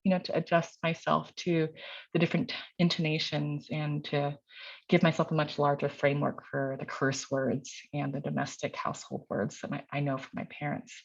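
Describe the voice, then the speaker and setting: A middle-aged woman with a fairly low voice gives a poetry reading, mixing her own literary lines with informal remarks. The recording is slightly dull and lo-fi. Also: flat delivery, normal speed, vocal fry. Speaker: poet and university teacher reading own work. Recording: dull, lo-fi sound.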